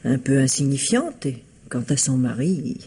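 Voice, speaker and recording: A composed lady of good manners in a radio mystery, with a husky voice. She answers in measured, precise phrases, guarded yet candid. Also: rumbling low, very animated, mellow, full character voice. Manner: polite, measured, precise diction. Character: composed, well-bred bereaved fiancée, guarded and candid. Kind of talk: French radio mystery play acting.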